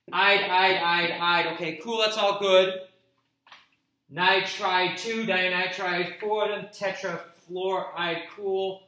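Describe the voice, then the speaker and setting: A man delivering a chemistry lesson in a brisk, explanatory way, dropping casual asides. His voice is very bright and a bit high. It rings in an echoey room. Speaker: chemistry teacher. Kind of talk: recorded classroom lecture.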